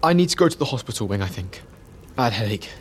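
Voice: mid-range voice, big pitch swings, fast, slightly rough, voice pushed down. A narrator voicing an earnest, impatient teenage schoolboy who blurts things out urgently. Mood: curt.

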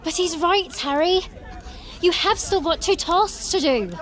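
A woman's voice: very high-pitched, measured pace, voice pushed up. A woman voicing an earnest, bookish teenage girl who is insistent and fussy, in a storybook reading.

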